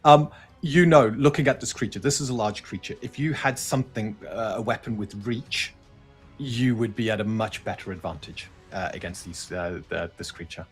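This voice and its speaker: A man with a fairly low voice runs a tabletop game. He improvises scene narration and talks players through the rules, with faint music underneath. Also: moderate pace, slightly rough. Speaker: game master.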